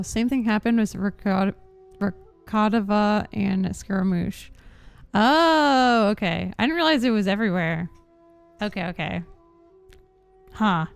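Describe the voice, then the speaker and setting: A woman's voice: medium pitch, expressive, slow, long pauses, clear, resonant tone, soft background music. A woman giving casual live-stream gameplay commentary, musing aloud in an informal way. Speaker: game streamer.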